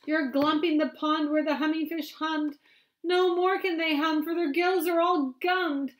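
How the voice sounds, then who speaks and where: A small, cranky old forest creature, played by a woman reading aloud, scolding in a very high, drawn-out voice. He sniffles and coughs between slow, mournful storybook lines. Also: fairly even intonation. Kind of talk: reading a picture book aloud.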